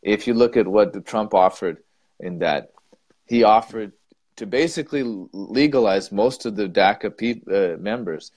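A man co-hosting a casual chat in a fairly low voice. He states his opinions bluntly and with emphasis, and he often stumbles and restarts his sentences. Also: unhurried, darkish tone. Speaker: co-host.

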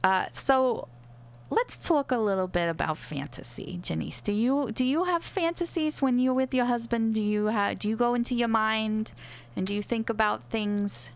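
A woman playing a calm female sex therapist who speaks in a measured, reassuring way with hesitant fillers. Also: a little high, close to an everyday voice. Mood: soothing. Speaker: advice-show therapist. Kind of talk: improvised comedy sketch.

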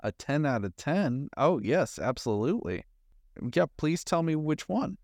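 A man's voice: on the high side, sing-song, unhurried, darkish tone, no room sound, clean studio sound. A man co-hosting a podcast, chatting casually as he reviews a movie. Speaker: podcast co-host.

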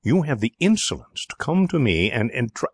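A man voicing a sulky, wrathful young gentleman with a blunt, indignant manner in a storybook reading. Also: low voice, normal speed, no echo, lightly acted. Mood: wrathful, spluttering.

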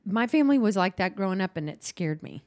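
A woman podcast host who works as a counselor, talking candidly in a halting, restart-filled way in casual chat. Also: medium pitch, lively intonation, darkish tone.

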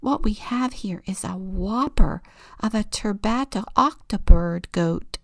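A woman's voice: mid-range voice, slow pace, vocal fry, dry recording, clean studio sound. Storybook: eager take-charge goat, read by a woman. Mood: excited. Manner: whispering, excitable, bossy. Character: bossy excitable goat.

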